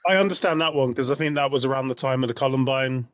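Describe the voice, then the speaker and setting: A British man talks in the informal, opinionated way of a podcast co-host. His voice sits at a middling pitch. The recording is dry, with very little room sound. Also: fast, fairly bright tone, no echo. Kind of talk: casual chat.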